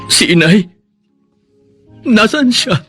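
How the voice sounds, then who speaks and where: An actor playing a greedy, defiant husband, a teacher gone crooked, in a Filipino radio drama, with a husky voice. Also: male voice, high voice, animated, slow pace, bright, forceful tone, faint music behind, heavily acted. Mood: subdued, remorseful.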